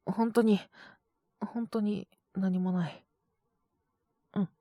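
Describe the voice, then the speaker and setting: A woman voicing a gentle young male teacher who is feverish and coughing, speaking softly and tiredly. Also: medium pitch, fairly even intonation, slow, no room sound, studio quality. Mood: flustered, chatting. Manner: soft, tired, mumbling, often whispered. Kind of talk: audio drama for women listeners.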